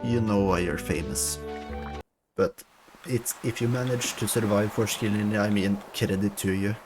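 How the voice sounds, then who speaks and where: A man's voice: lowish voice, moderate pace. A young man, chatting casually as he plays a video game with friends. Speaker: video game player in a co-op stream. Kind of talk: casual gaming chat. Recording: clear game music behind. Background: game music.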